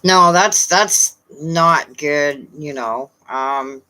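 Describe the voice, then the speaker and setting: A woman with a Newfoundland accent chatting casually with viewers on a live stream, often pausing with fillers. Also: low-pitched, sharp tone, poor audio. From Newfoundland, Canada. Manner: chatty, hesitant, many fillers.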